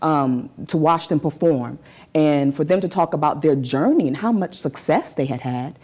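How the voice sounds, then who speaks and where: A woman with a low-pitched, relaxed and mellow voice talks as a guest in an interview. She tells her own story conversationally and earnestly. She leans on key phrases by repeating them. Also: moderate pace.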